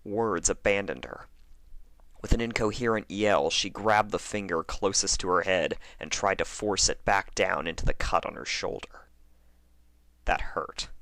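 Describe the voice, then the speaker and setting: A man narrating a scripted horror story in a theatrical, expressive way. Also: low voice, no room sound. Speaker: fan fiction narrator.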